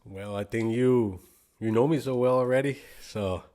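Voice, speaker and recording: A man's voice: mid-range voice, moderate pace, warm tone. A man in his twenties from Guatemala with a Spanish accent, speaking in a loose, rambling way as a podcast guest, full of "like" and "bro" and with the odd switch into Spanish. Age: 20s. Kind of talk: casual interview chat.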